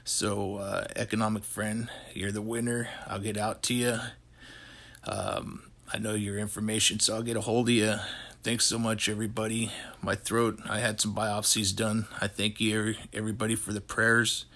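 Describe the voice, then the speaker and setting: A man in his forties talking casually to camera in a relaxed, rambling way with many fillers, his voice hoarse and going out. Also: on the low side, moderate pace. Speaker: vlogger. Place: indoor hobby room with shelves.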